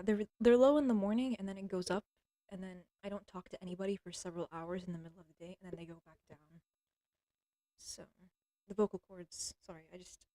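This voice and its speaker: A young woman livestreaming from home, rambling bluntly through a crude monologue, often stopping to clear her throat. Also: medium pitch, warm tone, no echo. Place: at home with a cat.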